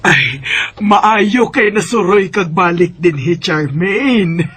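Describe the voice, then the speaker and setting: Woman playing nosy disapproving bisaya mom in a radio drama, scratchy forceful voice, slow and dramatic. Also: lowish voice, lively intonation, slightly rough, soft background music, full character voice. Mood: gushing. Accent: Filipino, Bisaya. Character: disapproving, meddling mother of a grown son. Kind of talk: amateur radio drama acting.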